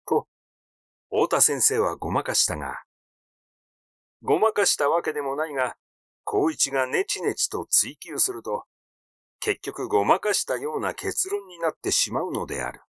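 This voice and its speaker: A man's voice: medium pitch, big pitch swings, normal speed, no echo, studio quality. A man reading a literary mystery story aloud as its narrator. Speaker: audiobook narrator.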